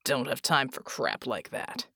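A woman voicing a world-weary male mercenary who talks bluntly in a low, gravelly drawl. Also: sing-song, a little slow, bright, forceful tone, dry recording, clean studio sound, full character voice. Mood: gruff.

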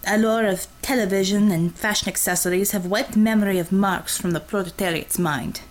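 A woman's voice: medium pitch, fairly even intonation, brisk, lightly acted, poor audio. A woman voicing a brash, tough Russian commissar with a put-on Russian accent, speaking bluntly in clipped phrases without articles, read as an audiobook character.

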